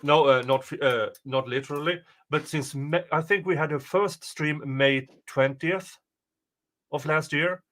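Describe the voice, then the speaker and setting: A man co-hosting a livestream, chatting casually in a jokey, halting way. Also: fairly high pitch, a bit bright. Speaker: co-host of a true-crime livestream. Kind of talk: casual chat commentary.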